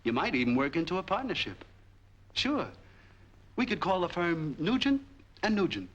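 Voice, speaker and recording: An actor playing a shrewd, long-hidden street peddler in a 1940s crime film. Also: male voice, high voice, very animated, moderate pace, dull audio. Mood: genial, generous. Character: shrewd, forgiving street peddler with a lame leg, long in hiding. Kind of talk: 1940s film noir acting. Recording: noisy 1940s film soundtrack.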